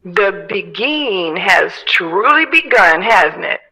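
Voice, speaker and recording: Bright, coy 1960s starlet. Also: female voice, mid-range voice, very animated, breathy edge, full character voice, low-quality recording. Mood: coy, amused. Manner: refined, coy, drawn-out delivery. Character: glamorous, teasing female star of the 1960s. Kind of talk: period audio drama dialogue.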